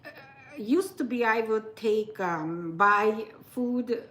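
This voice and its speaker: A woman co-hosting a casual live chat, speaking halting, broken English with many fillers. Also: fairly high pitch, fairly bright tone. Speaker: co-host.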